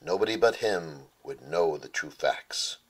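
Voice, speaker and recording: A man's voice: a bass voice, lively intonation, a little slow, slightly rough. A man narrating a rhyming children's bedtime story as its author.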